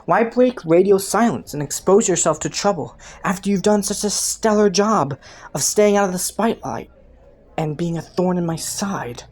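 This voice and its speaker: A woman's voice: fairly low pitch, normal speed, close to an everyday voice. A woman playing a flirty, taunting underworld criminal in a roleplay audio, with a playful, teasing drawl. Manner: playful, teasing drawl with frequent soft chuckles.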